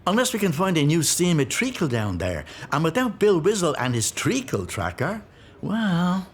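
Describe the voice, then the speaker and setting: A man's voice: a little high, huge pitch swings, moderate pace, background noise. An actor playing a wry, gallant village pub man in a comedy audio drama, droll and flowery.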